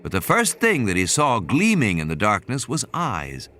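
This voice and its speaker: Male audiobook narrator, crisp bright edge, highly varied pitch, faint ambience underneath. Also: wildly swooping pitch, normal speed, a little hiss or hum. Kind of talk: dramatic scripted narration. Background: ambient sound effects.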